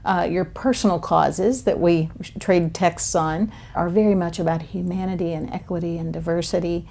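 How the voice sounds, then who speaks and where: Host: woman, mellow dark tone with vocal fry, enthusiastic interviewer. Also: lowish voice, steady tone, moderate pace. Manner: effusive, warm praise, frequent affirmations. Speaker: podcast host. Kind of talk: casual interview chat.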